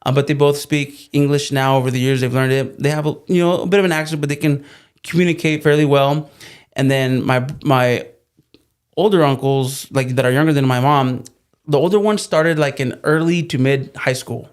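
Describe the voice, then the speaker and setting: Man from California, Spanish first language, relaxed storyteller, mid-range voice. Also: mid-range voice, normal speed, clean tone. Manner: easygoing storyteller, joking and laughing. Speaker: plumbing business co-founder, guest. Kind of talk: casual interview chat.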